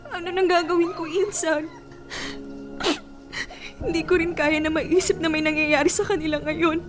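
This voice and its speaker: High-pitched, plaintive heartbroken young woman. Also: steady tone, normal speed. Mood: anguished, tearful. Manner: plaintive, hesitant. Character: heartbroken young woman clinging to her cheating boyfriend. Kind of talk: Tagalog radio drama acting. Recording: music bed behind the voice.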